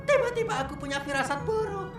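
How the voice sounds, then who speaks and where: Goofy jumpy cartoon slacker guy, high n swoopy, music behind. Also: lively intonation, fast talker, roomy sound. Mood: nervous. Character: goofy, nervous, food-loving young slacker man. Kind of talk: animated cartoon dub acting.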